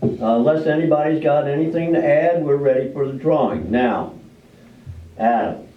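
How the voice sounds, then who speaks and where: A brisk small-town official , played by a man in amateur readers theater, folksy and in charge. His voice sits on the high side for a man. It is caught from across a roomy space, slightly muffled. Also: lightly acted. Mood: businesslike. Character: brisk folksy village official running a lottery drawing. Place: live performance in a library room. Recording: roomy, distant, dull live library recording.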